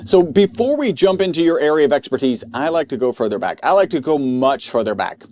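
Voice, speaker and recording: A man's voice: on the high side, expressive, fairly quick, a little mellow, no room sound, clean studio sound. A man hosting a podcast, introducing the show and guest and asking interview questions. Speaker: podcast host.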